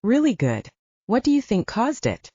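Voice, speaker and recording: Narrator as anxious young woman heroine, earnest n questioning, pitch moves a lot, slightly muffled audiobook. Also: wildly swooping pitch, normal speed, mellow, breathy edge, no room sound, full character voice. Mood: curious.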